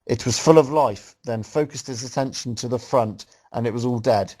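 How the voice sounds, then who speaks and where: A man's voice: mid-range voice, moderate pace, darkish tone, poor audio. A man reading a story aloud casually as host, breaking off to make joking, mocking comments. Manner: breaks off to mock the writing.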